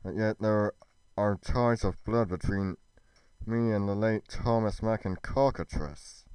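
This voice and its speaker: A man delivering a scripted fantasy roleplay monologue as a brooding nobleman, in a solemn, formal and deliberate manner. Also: on the low side, a little mellow, smooth, no room sound, low-quality recording. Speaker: creator narrating a Minecraft roleplay in character.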